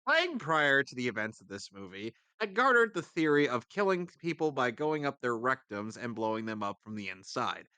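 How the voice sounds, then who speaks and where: A man's voice: medium pitch, sing-song, moderate pace, hardly any pauses, pushed and bright, smooth, no room sound. A man narrating a comic script in a mock-dramatic way with asides, as a co-reader on a live stream.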